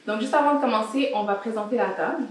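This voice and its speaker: A woman's voice: fairly high pitch, fast talker, slight background noise. A young woman hosting a casual round-table discussion, guiding her guests with questions. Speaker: host and founder of a round-table show. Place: indoor salon with brick walls. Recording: echoey room.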